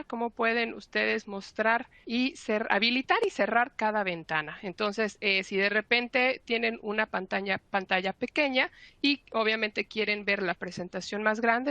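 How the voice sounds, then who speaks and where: A woman from marketing, hoarse from illness, welcoming viewers to a webinar in a friendly, explanatory way with many fillers. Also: fairly high pitch, pushed and bright, dry recording. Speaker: marketing presenter. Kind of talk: webinar host introduction.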